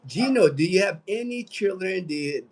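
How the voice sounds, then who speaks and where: American male livestream host, late 50s, slightly high-pitched voice, loose conversational delivery that wanders and circles back. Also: animated, moderate pace. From United States. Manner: rambling, conversational, repeats phrases. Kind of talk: casual livestream chat, reading out viewer comments.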